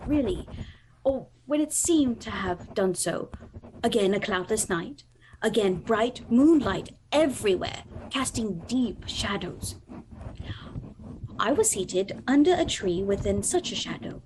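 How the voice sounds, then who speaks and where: An actress playing a sheltered, wistful young gentlewoman, telling her story formally in a radio drama. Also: fairly high pitch, lively intonation, a little slow, slight background noise, poor audio. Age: young adult. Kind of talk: radio drama narration and dialogue.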